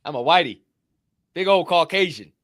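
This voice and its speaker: A man from the United States co-hosting a live podcast, loud and profane, laughing often and swinging between shouting and low mumbles. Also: expressive, moderate pace, dry recording, clean studio sound. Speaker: podcast co-host. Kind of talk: casual live podcast chat.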